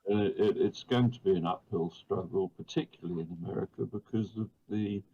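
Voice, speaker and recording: A man's voice: lowish voice, a little fast, vocal fry, mellow. A man joins the chat over a slightly dull line with faint hiss. He makes dry jokes and stammers on his restarts. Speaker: call guest. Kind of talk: casual chat.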